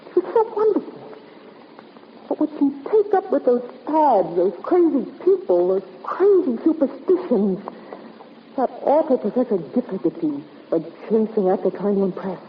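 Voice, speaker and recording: An actress playing a frightened, brittle, bitter young niece in a 1950s radio drama. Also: female voice, medium pitch, natural voice. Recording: muffled, noisy 1950s radio recording.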